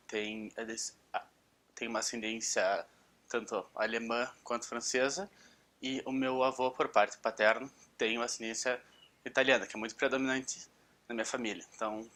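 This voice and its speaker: A man's voice: medium pitch, pushed and bright. A man speaking in a casual interview, somewhat hesitant with small restarts.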